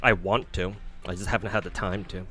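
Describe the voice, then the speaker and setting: A man's voice: sits low, fairly even intonation, fairly quick, pushed and bright. A man streaming a game, chatting casually in a rambling, profane way full of "like" fillers. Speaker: gaming streamer. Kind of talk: casual livestream chat while playing. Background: game music.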